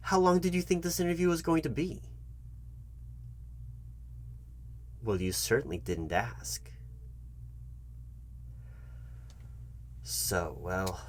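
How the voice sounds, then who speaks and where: A man playing a foolish, patronizing job interviewer in an ASMR comedy roleplay, speaking to the listener in a halting, repetitive, mock-earnest way. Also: lowish voice, slight background noise. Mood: impatient, demanding. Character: foolish, condescending male job interviewer toying with the candidate.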